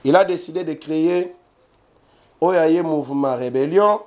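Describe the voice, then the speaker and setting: Brief: Congolese male commentator, slightly high voice, insistent and repetitive, talks straight to the audience. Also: a little slow, darkish tone. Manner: emphatic, repetitive, addresses viewers directly. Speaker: political commentator. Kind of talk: animated political commentary in French and Lingala.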